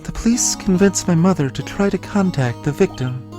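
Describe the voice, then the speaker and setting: A man voicing a soft-spoken, sorrowful young woman who is a spirit medium in a video game, speaking haltingly and earnestly. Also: high-pitched, pitched above the performer's own voice, lightly acted. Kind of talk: voiced video game dialogue. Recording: music behind the voice.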